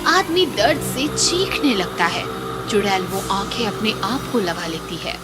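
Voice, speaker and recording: A woman's voice: mid-range voice, sing-song, a little slow. A woman narrating a cartoon horror story in a scripted, dramatic way. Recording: loud music bed.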